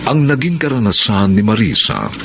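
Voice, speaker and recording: A man acting as a solemn, deliberate storyteller in a dramatic radio drama, moralizing to the listeners. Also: fairly low pitch, expressive, vocal fry. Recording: loud guitar music under voice.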